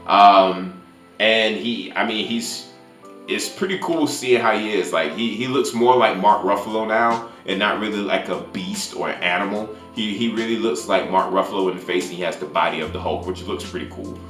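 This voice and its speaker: A man with a fairly low voice gives a casual, conversational film recap as a review host. The room adds clear echo to his voice, and music plays faintly behind him. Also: moderate pace, fairly bright tone. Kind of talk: casual movie recap with spoilers.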